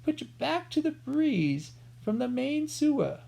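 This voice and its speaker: A reader putting on a half-deaf old rat catcher, loud and chatty. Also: high-pitched, big pitch swings, a little slow, gravelly, pitched above the performer's own voice, exaggerated voice, poor audio. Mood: helpful. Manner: shouts to be heard, garrulous.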